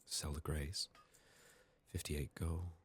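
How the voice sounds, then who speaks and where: An extremely low-pitched man speaks softly, close to the mic, as he plays a video game in ASMR style. He mutters short, unhurried remarks to himself, at times in a whisper. A light layer of background noise runs underneath. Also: little pitch movement. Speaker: video game player and streamer. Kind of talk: soft-spoken ASMR game commentary, partly whispered.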